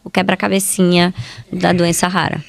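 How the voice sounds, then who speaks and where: Lower-voiced Brazilian woman, podcast guest. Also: level tone, moderate pace, gravelly, a crowd in the background. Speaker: guest, medical geneticist. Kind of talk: conversational interview answers.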